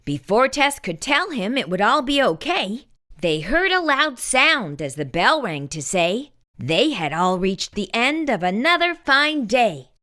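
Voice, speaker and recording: A woman hosting storytime, narrating a children's picture book in an animated read-aloud style. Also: fairly high pitch, measured pace. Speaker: storytime host and reader.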